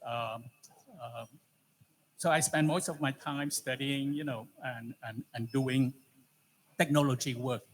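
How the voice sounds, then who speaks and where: A man in his seventies from Vietnam with a Vietnamese accent, giving an informal, halting talk of advice with many pauses. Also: medium pitch, steady tone. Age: 70s. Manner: halting, frequent pauses and "you know". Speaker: alumni speaker, technology entrepreneur. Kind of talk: informal introduction and advice to an audience. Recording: noisy live event room.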